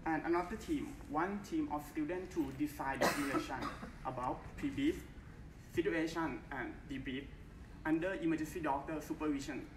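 A young man from Thailand with a Thai accent, giving a formal research talk in careful, halting English at a conference. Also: a little high. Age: young adult. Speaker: conference presenter. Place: large bright conference hall. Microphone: far camera, no mic. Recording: echoey hall from far off, faint hiss.

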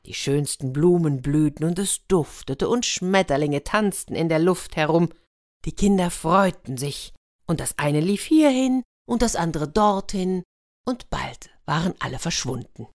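A woman narrating a fairy tale for children, expressive and dramatic, with hushed passages and drawn-out words. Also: on the low side, dry recording, clean studio sound. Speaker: storyteller, host of a children's story channel.

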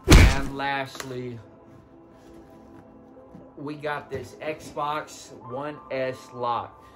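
A man's voice: medium pitch, level tone, drawn-out words, music in the background. A man in his thirties hosting a casual product rundown to camera, seated in a living room. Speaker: video host, online reseller.

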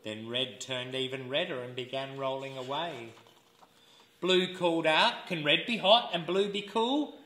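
A man reading a children's storybook aloud as an educator, expressive and deliberate, pausing for listeners to fill in words. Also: on the high side. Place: indoors, seated in a room with wooden floor. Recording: roomy indoor camera sound.

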